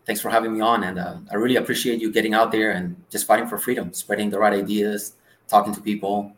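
Cuban-born guy in his 40s telling family stories, lowish voice, echoey room. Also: steady tone, quick pace, fairly bright tone. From Cuba. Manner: earnest, anecdotal storyteller. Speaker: guest. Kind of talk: casual interview storytelling. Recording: roomy echo.

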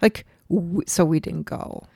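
A woman's voice: on the low side, a little slow, creaky, husky, dry recording, studio quality. A middle-aged woman, co-hosting a casual music podcast and telling stories in a chatty way. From northern Illinois, US. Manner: chatty storyteller, animated retelling. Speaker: podcast co-host. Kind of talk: casual chat.

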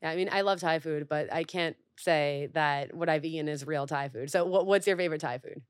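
A woman's voice: sits low, a little fast, dry recording, studio quality. A young woman hosting an interview podcast, chatting casually with lots of fillers. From grew up in Mexico and the U.S. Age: young adult. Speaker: podcast host.

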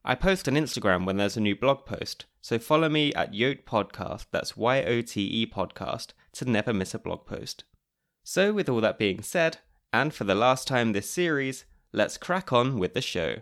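A British man narrating his podcast from a script, with a wry, chatty delivery. His voice sits at an ordinary middle pitch. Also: animated, normal speed, a bit bright. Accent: British English. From Britain. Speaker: podcast host.

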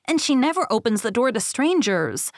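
A woman's voice: very animated, moderate pace, no room sound, clean studio sound. A woman voicing a trusting, polite little girl in a fairy tale, with a small voice. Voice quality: small, high voice.

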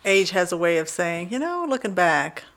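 A woman's voice: on the low side, sing-song, normal speed, fairly bright tone. A woman hosting a casual interview, informal and responsive, chiming in readily. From born in Belgium, moved away at six. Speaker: interview host.

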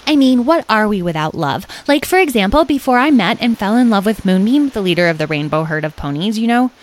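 A woman voicing a chatty, earnest boy who rambles on in an audio storybook, easily excited and full of filler words. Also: mid-range voice, brisk, voice pushed up, lightly acted. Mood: dreamy, chatty. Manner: rambling, full of likes and you-knows.

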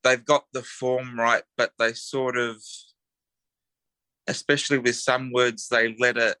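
Bright-voiced Australian man, laid-back. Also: medium pitch, little pitch movement, a little slow, clean tone, dry recording. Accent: Australian, western Sydney. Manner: laid-back, searching for words, trails off. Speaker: guest. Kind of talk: casual chat.

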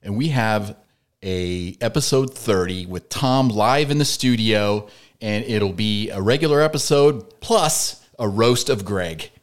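A man's voice: on the low side, unhurried. A man hosting a podcast, chatting casually in a jokey, teasing way with his guest in a studio. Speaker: podcast host. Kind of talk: casual banter and interview.

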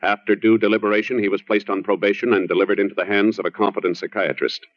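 A man with an American accent reading scripted 1950s radio announcements in a formal, measured way. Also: on the low side, level tone. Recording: dull old radio recording.

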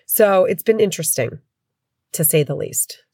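Congested middle-aged New woman, low-pitched, rambling podcast host, dry room. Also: animated, normal speed, warm tone, husky, no room sound. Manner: chatty, rambling, candid. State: congested with a head cold. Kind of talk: casual podcast chat. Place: home.

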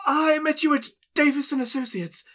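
A woman's voice: high-pitched, normal speed, fairly bright tone. A woman playing a terrified, tearful captive pleading for rescue in an audio drama. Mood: panicked, tearful. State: tearful, frightened.

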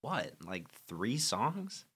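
A man's voice: medium pitch, very animated, extremely slow, fairly bright tone, husky. A young man, a guest musician, chatting casually in an interview and rambling with many fillers. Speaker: guest musician, guitarist and songwriter.

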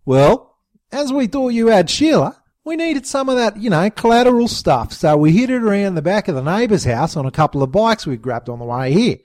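Narrator doing a cheeky young Australian bogan, high and lively, in a storybook. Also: male voice, brisk, dry recording.

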